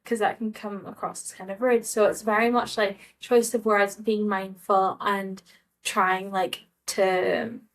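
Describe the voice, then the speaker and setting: A woman's voice: medium pitch, flat delivery, a little slow. A young Scottish woman talking casually to camera in a vlog, hesitant and full of fillers and restarts. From Scotland. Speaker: vlogger.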